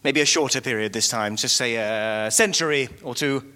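An actor playing a vain, self-pitying writer from the past in live audio comedy, pompous and stammering when flustered, recorded on stage in an echoey hall. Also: male voice, mid-range voice, normal speed, bright, forceful tone, lightly acted. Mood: grandiose.

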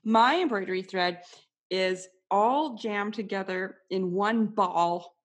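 A woman hosting an interview podcast, telling relaxed stories in casual chat. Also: mid-range voice, takes it slowly. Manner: relaxed, storytelling, easy laughs. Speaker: podcast host.

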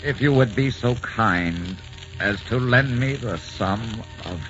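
An actor playing a tormented, devoted young writer in a 1940s radio drama, theatrical and fervent. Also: male voice, mid-range voice, takes it slowly, close to an everyday voice. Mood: embarrassed, grave. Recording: dull vintage 1940s radio recording, music behind.

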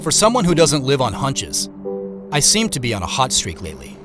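A man's voice: mid-range voice, wildly swooping pitch, a little fast, over music. An actor playing an introspective male scientist in a first-person audio drama, moving between narration and dialogue. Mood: reflective.

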